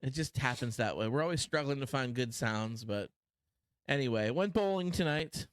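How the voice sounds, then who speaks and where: A man's voice: medium pitch, animated, moderate pace, clear, resonant tone, no room sound, clean studio sound. A man in his thirties with a Pittsburgh accent, co-hosting a podcast in a rambling, self-deprecating casual chat. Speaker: podcast co-host.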